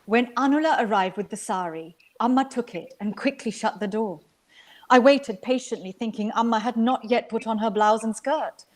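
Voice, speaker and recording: A woman from Sri Lanka reading literary fiction aloud as an actor, with measured, expressive storytelling. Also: on the high side. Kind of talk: dramatic literary narration.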